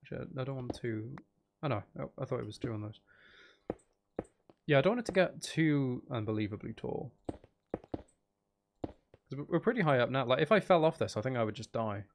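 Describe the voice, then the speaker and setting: A man's voice: medium pitch, expressive, brisk, vocal fry, pauses often, background noise. A man giving casual livestream commentary on a game, thinking aloud to his viewers in a musing way. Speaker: game streamer.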